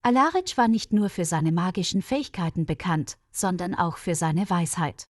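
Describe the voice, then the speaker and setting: A woman's voice: on the low side, lively intonation, normal speed, dry recording, clean studio sound. A woman reading a scripted fairy tale as a storyteller for language learners. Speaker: storyteller, narrator.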